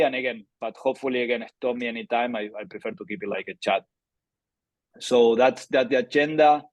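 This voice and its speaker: A man's voice: mid-range voice, dry recording, muffled recording. A man in his thirties from Argentina, with a strong Argentine accent, presenting a webinar in a chatty, filler-filled way. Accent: Argentine Spanish. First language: Spanish. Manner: conversational, many fillers and restarts. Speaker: startup founder presenting a webinar.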